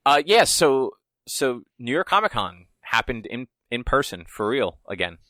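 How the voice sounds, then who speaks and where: A man's voice: mid-range voice, big pitch swings, a little slow, sharp tone, no echo. A man co-hosting a podcast, talking in a laid-back, rambling way and swearing casually. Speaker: podcast co-host. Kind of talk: casual chat.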